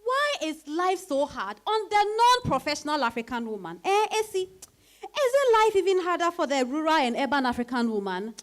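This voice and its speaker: A woman's voice: high voice, big pitch swings, normal speed. An actress with a Ghanaian accent playing a realistic, frank woman friend in a dramatized reading, emphatic and sisterly in her chiding. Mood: challenging.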